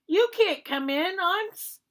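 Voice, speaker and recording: A woman voicing a rude little male pig in a storybook, loudly and defiantly shooing a visitor away. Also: extremely high pitch, lively intonation, unhurried, voice pushed up. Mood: defiant, yelling. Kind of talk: animated storybook read-aloud.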